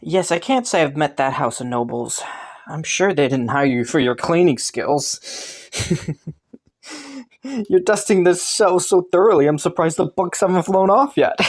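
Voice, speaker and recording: A woman playing a low-voiced, courtly young prince. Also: expressive, measured pace, pauses often. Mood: amused, teasing. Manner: polite, hesitant, softly teasing. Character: kind, playful, rambling young prince with courtly manners. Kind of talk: intimate roleplay audio drama. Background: faint music.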